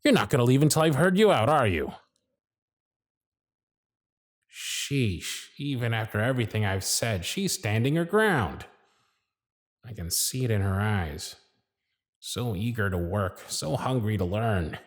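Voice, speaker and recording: A man voicing a jaded, century-old male workshop supervisor who is gruff, weary, sardonic and blunt, in an ASMR roleplay with close miking. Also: lowish voice, very animated, normal speed, no echo. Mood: weary, stern. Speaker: voice actor in audio roleplay.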